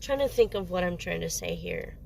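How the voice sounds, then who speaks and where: A woman's voice: fairly low pitch, lively intonation, brisk, gravelly, a little mellow, slight background noise. A woman doing a casual tarot reading, sniffly with a cold, rambling and often stopping to search for words. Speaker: tarot reader.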